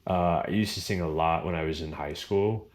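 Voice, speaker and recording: A young man with a baritone voice answering viewer questions in a relaxed, rambling vlog chat full of fillers. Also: normal speed. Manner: relaxed, rambling, lots of fillers and small laughs. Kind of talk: casual Q&A chat.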